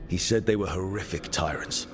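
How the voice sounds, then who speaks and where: An actor playing a composed, pragmatic leader of adventurers in a video game, speaking in a measured, dry way. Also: male voice, sits low, natural voice. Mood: grave. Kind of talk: video game cutscene acting. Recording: game dialogue under clear background music.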